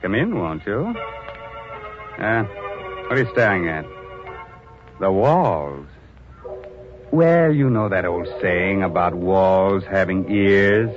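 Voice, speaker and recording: A macabre old American bachelor hosting vintage radio horror, wry and full of ghoulish puns. He talks slowly and fairly low. The old recording is muffled, with loud music behind him. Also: animated. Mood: droll, ghoulish. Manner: droll, mock-sinister, punning. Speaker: radio show host.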